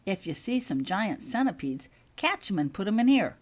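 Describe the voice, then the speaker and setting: Woman voicing an excitable folksy groundskeeper man. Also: mid-range voice, moderate pace, pitched above the performer's own voice. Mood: eager, casual.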